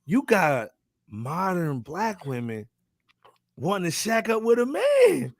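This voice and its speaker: A man's voice: high voice, wildly swooping pitch, takes it slowly, vocal fry, no room sound, clean studio sound. An American man co-hosting a podcast with casual, opinionated banter, full of slang and "man". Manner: slangy, peppers talk with "man". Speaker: podcast co-host.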